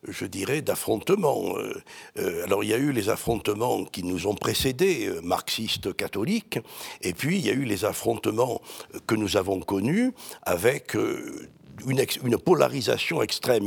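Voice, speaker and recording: Gravelly older man, faint Marseille lilt. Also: fairly low pitch, lively intonation. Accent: slight Marseille. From Marseille, France. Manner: measured, hesitant with many fillers, dry wit. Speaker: priest and scholar, interview guest. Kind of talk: reflective interview answers.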